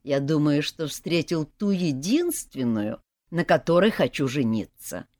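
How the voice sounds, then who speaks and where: A woman voicing a naive, gentle young man who is a blind snake, speaking earnestly and softly. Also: low voice, expressive, unhurried, dry recording, clean studio sound.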